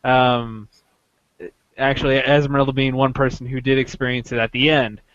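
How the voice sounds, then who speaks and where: A man hosting a podcast, casually recounting an experience in a halting way, often interrupting himself as he goes. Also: mid-range voice, little pitch movement, fairly bright tone, dry recording, dull audio. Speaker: podcast host telling a story.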